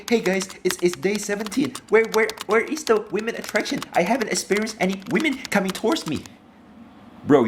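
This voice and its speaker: A man's voice: mid-range voice, slight background noise. Blunt young man. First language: Indonesian. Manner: blunt, profane, calls listeners "bro". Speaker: self-improvement vlogger. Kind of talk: blunt motivational rant to viewers.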